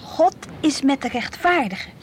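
Dreamy devout dutch teen girl, real high voice, earnest and stubborn, old radio play with a bit of hiss. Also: expressive, normal speed. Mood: earnest, sure. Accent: Netherlandic Dutch. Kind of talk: Dutch radio play acting, diary monologue and dialogue. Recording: faintly hissy old radio play recording.

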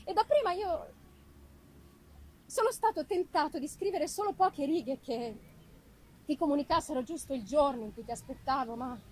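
A woman giving an expressive, deliberate dramatic reading of literary prose, with weighty pauses. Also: high-pitched, slight background noise, low-quality recording. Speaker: reader of a classic novel. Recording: live musical accompaniment behind the voice. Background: live music.

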